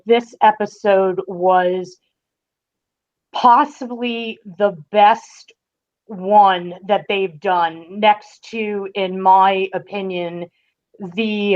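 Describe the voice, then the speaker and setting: A woman giving her review as a podcast guest, speaking in casual discussion with measured, emphatic phrasing. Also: mid-range voice, muffled recording.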